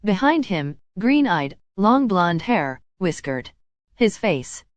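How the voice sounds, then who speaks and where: A woman's voice: medium pitch, very animated, takes it slowly, no room sound, dull audio. A woman narrating a piece of fan fiction as a scripted audiobook-style reading.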